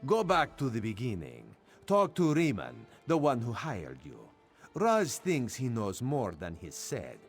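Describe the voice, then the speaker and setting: A man's voice: mid-range voice, huge pitch swings, measured pace, soft background music. An actor playing a sly male cat-folk spy in a video game, who speaks of himself in the third person with a playful yet menacing manner. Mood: commanding.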